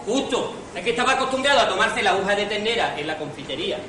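A man's voice: sits high, animated, fast talker. A man playing a nervous, bumbling impostor fiancé in an amateur stage farce, stammering and repeating himself. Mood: flustered. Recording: distant echoey hall, noisy audience.

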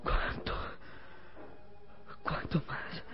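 A man's voice: extremely slow, highs cut off, over music. An actor playing a frightened, suffocating young boy in an old radio serial, gasping for breath. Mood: weak, fearful.

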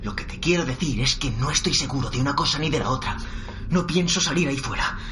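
A man acts out a young male survivor in a radio drama about a zombie apocalypse. He is bossy, suspicious and quick to argue, with a sharp tongue. There is clear background noise behind him. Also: mid-range voice, animated. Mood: firm, argumentative. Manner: argumentative, sharp-tongued, interrupting. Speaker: actor in an audio drama. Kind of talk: dramatic radio serial acting. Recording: clearly noisy background ambience.

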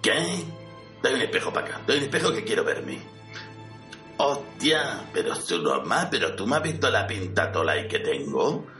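In a roomy recording with faint music, a swaggering fallen conqueror , played by a man, demands answers in an audio parody. His voice is low and sharp, with a Spain Spanish accent. He is blunt, irritable and foul-mouthed. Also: big pitch swings, moderate pace. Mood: indignant, boastful. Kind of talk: amateur parody audio drama. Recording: roomy, distant mic, music underneath.

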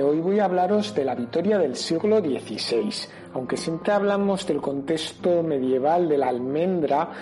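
Higher-pitched, mellow Spanish man explaining. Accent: Spanish from Spain. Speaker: local heritage presenter. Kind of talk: explanatory radio talk on history.

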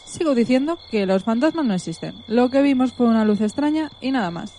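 A woman's voice: on the high side, lively intonation, brisk, mellow. A woman playing a bold, sensible donkey who leads animal musicians in a radio play, with theatrical delivery. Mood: sceptical.

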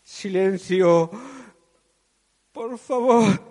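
A man reciting poetry theatrically, in a dramatic manner, as a performer acting out the verses. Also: sits high. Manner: dramatic, slow, imitates drums and trumpet with the voice. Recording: loud music behind the voice.

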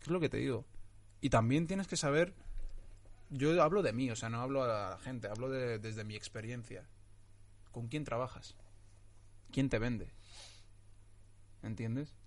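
A man's voice: medium pitch, measured pace, pauses often. A man in his twenties, an actor, talking casually in an interview and restarting his sentences often with many fillers. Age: 20s. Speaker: actor guest.

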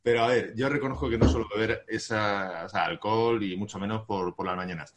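A man's voice: lowish voice, a little fast, noisy background. A man from Spain, a podcast co-host, talking casually and self-deprecatingly, often laughing. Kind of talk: casual group chat. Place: remote podcast recording.

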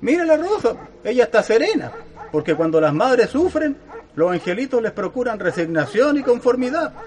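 A man's voice: very high voice, sing-song, normal speed, a little mellow. A man playing a humble, resigned country father in a radio drama, with a rural Chilean accent and a colloquial, deferential manner. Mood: gently insistent.

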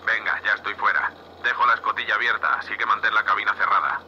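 A man playing a gruff, seasoned spaceship captain in an audio drama, curt, practical and dryly sardonic. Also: on the low side, steady tone, fast, pushed and bright. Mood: brisk, matter-of-fact. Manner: curt, commanding, dry. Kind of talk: audio fiction acting. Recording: dull, muffled band, light noise.

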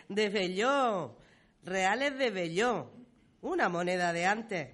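A woman's voice: mid-range voice, expressive, drawn-out words, a bit bright, full character voice. A woman playing a proud village grandmother reminiscing in a radio play, with a Murcian accent and a folksy, exclamatory way of talking. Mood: amused. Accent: Murcian Spanish. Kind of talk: radio play storytelling dialogue.

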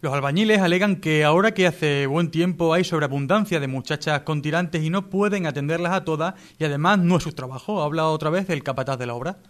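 A man's voice: fairly high pitch, a little fast, few pauses, fairly bright tone. A man hosting a comedy radio show, reading spoof news in a mock-serious, playful manner. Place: radio studio.

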